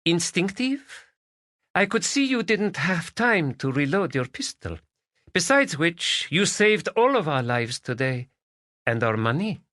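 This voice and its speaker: A man's voice: a little high, big pitch swings, a little slow, sharp tone, no echo, clean studio sound, pitched above the performer's own voice, full character voice. A man voicing a proud, fiery Spanish noblewoman in an audiobook, speaking emotional, slightly broken English with a Spanish accent. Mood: warm grateful. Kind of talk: audiobook character voice.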